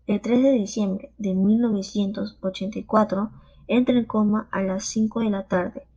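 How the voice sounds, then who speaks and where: Woman reading in a somber, dark tone. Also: mid-range voice, steady tone, normal speed, slightly rough. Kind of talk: read narration. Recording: dull lo-fi recording.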